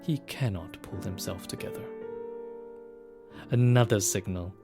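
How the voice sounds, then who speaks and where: A man playing a solemn, mysterious guide through ghostly ruins, narrating an audio drama in a measured, poetic manner. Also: deep voice. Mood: solemn, haunting. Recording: music under the voice.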